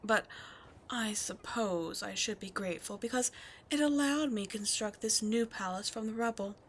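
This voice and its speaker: A woman's voice: medium pitch, normal speed, lightly acted. A woman voicing a scheming demon queen in an ASMR roleplay, sly and mocking, often slipping into whispers, with faint noise behind. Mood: taunting. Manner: sly, taunting, drifting into whispers. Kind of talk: ASMR roleplay audio drama.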